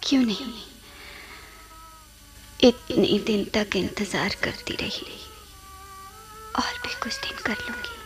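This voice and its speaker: An actress playing a wistful, lovelorn, mysterious woman with breathy, pleading delivery in a radio drama. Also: high voice, huge pitch swings, slow pace, pauses often, soft background music, full character voice, low-quality recording. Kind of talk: Hindi radio drama acting.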